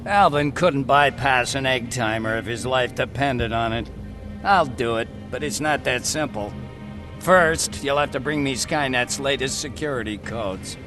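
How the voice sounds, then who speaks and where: An actor playing an eccentric, talkative scientist and hacker in a video game cutscene, eager, blunt and given to explaining. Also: male voice, mid-range voice, measured pace, music in the background, lightly acted. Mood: dry, instructive.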